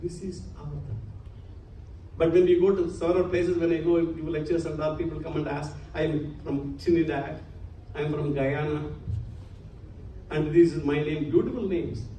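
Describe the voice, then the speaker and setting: A man's voice: a little high, steady tone, moderate pace, warm tone. A man giving an earnest religious lecture to a congregation, using many Sanskrit terms. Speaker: spiritual lecturer. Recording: echoey hall, distant mic, faint hum.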